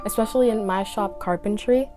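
A teenage girl, a Creole speaker, talks as a student guest on a podcast. Her pitch is average and she answers in a casual, conversational way. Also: brisk, warm tone, faint music behind. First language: Haitian Creole.

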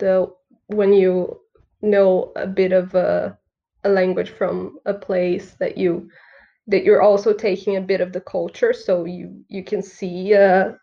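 A woman's voice: mid-range voice, level tone, a little slow, vocal fry, muffled recording. A young woman from Brazil, a Portuguese speaker, chatting casually as a student guest on a podcast. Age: young adult. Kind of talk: casual chat.

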